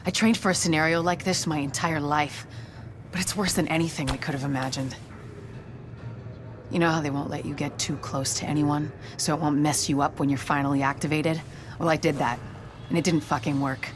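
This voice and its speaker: A woman's voice: deep voice, steady tone, fairly quick, background noise, natural voice. A woman playing a tough, frank, determined injured agent in a video game cutscene. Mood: frustrated, regretful. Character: tough, injured female agent, fiercely attached to her city.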